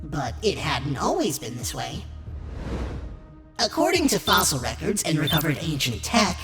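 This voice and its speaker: Low-voiced robot tour host, played by a woman. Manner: formal, polished, informative. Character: polite robot host guiding tours of alien worlds. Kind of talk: scripted documentary-style narration. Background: prominent music.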